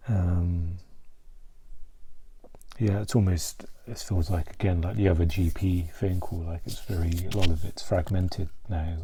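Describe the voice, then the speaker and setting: A man speaking softly and haltingly in a confessional vlog, searching for words and at times trailing toward a whisper. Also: low-pitched, steady tone, a little hiss or hum, rough recording. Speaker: mental health vlogger.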